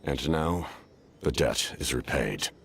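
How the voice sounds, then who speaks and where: An actor playing a stoic, gruff warrior captain in a video game, terse and deliberate. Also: male voice, very deep voice, animated, a bit bright, a little hiss or hum, heavily acted. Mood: stern, calm. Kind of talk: scripted video game dialogue.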